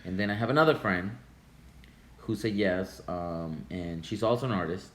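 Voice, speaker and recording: Male podcast host, Russian accent, low voice, roomy recording. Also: normal speed. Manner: laughs often, hesitant fillers. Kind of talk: casual chat. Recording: echoey room with faint noise.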